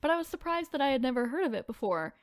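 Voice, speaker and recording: A woman's voice: high-pitched, big pitch swings, racing, no echo, clean studio sound. A woman hosting a podcast, chatting informally and reading spooky stories aloud with casual asides. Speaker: podcast host.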